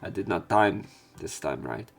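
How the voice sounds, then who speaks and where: A man reading visual novel narration aloud as a let's play commentator, somewhat halting and sick with a cough. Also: low-pitched, animated, vocal fry, faint music behind.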